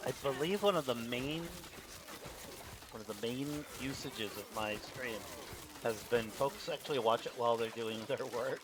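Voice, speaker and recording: A man streaming a game, chatting in a relaxed, rambling way. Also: medium pitch, noisy background. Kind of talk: casual commentary while gaming.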